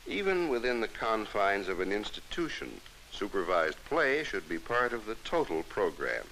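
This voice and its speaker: Deep-voiced American narrator, man, hissy old film. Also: sing-song, pushed and bright, poor audio. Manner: measured, formal, instructive. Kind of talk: scripted 1960s educational film narration. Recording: hissy early 1960s film soundtrack.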